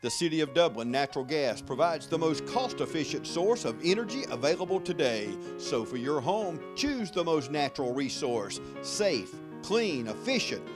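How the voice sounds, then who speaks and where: A male announcer delivers scripted commercial copy in a slightly high voice. Music plays clearly behind him. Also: sing-song, moderate pace, few pauses. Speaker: advertisement announcer. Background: music bed.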